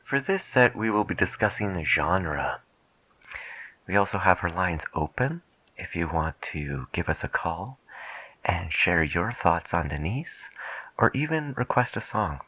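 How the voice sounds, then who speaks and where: A soft-spoken man playing a late night radio DJ in an ASMR style, talking in a measured, reflective, hushed manner close to the mic. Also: sits low, big pitch swings, breathy edge.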